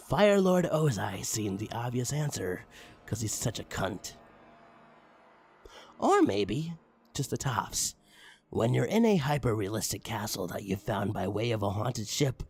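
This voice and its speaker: Animated, gravelly man reading creepypasta. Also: mid-range voice. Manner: crude, mock-dramatic, swooping emphasis. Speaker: narrator, online story reader. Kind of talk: comedic dramatic creepypasta narration.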